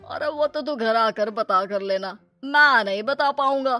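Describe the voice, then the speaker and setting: A woman voicing a greedy, scheming middle-aged moneylender in an animated moral story, sly and brusque. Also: fairly high pitch, a little slow, faint music behind.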